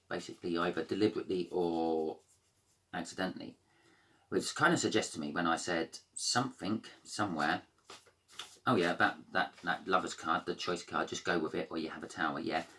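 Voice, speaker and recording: A man with a croaky voice giving a casual, rambling tarot reading, full of fillers and restarts. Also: sits low, fairly quick, a bit bright, slight background noise. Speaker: tarot reader.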